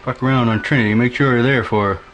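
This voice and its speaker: An actor playing a gruff trailer park man who talks bluntly and swears heavily. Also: fairly even intonation, fast talker, a bit bright, lo-fi sound, soft background music, lightly acted. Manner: low-key, blunt, heavy swearing.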